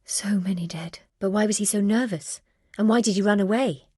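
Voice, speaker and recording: An actress playing a grieving, wary middle-aged widow who runs a medieval stud farm, speaking in an earnest, plain-spoken way in video game dialogue. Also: female voice, medium pitch, normal speed, dark tone, breathy edge, dry recording, studio quality, close to an everyday voice. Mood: shaken, grieving.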